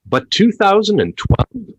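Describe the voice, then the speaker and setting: A man's voice: high voice, huge pitch swings, unhurried, breathy edge, dry recording, heavily acted. A man playing a cheeky, incredulous radio phone-in host in a comedy play, theatrical and wry.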